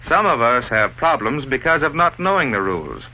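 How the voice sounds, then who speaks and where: A man playing an earnest school authority who explains rules in a deliberate, didactic way, like a 1950s instructional film. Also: medium pitch, sing-song, natural voice. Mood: patient instructing. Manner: didactic, deliberate, asks leading rhetorical questions. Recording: dull, noisy old 1950s film soundtrack.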